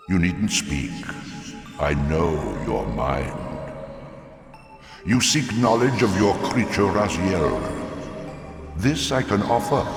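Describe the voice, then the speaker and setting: A man's voice: low voice, heavily acted. A man voicing an ominous, manipulative ancient oracle in a fantasy game, with portentous, theatrical delivery. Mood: knowing, enticing. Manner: portentous, theatrical, slow solemn prophecy. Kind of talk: scripted fantasy game acting. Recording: cavernous long reverb, music behind.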